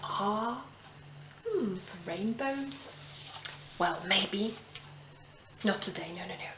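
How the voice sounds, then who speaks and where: A woman reading and chatting to children like a teacher, in simple, expressive phrases with dramatic pauses. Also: medium pitch, roomy sound, noisy background. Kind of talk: children's storytelling, gentle chat and narration.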